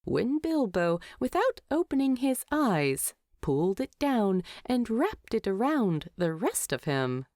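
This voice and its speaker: A woman reading a story aloud as an audiobook narrator, in expressive scripted narration. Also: mid-range voice, dry recording, studio quality.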